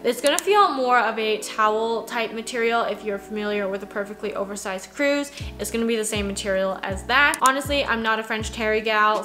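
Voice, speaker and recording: A woman's voice: a little high, pushed and bright. A woman in her twenties chatting casually from her home office as she reviews new clothing releases, with plenty of "like" fillers. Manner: chatty, conversational, lots of "like" fillers. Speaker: fashion vlogger. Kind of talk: casual product review chat. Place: small home office, indoors.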